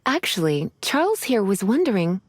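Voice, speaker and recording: A woman's voice: medium pitch, very animated, moderate pace, soft background music, close to an everyday voice. A woman voicing an elegant, languid woman in a video game, with a coy, refined manner. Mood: teasing, languid.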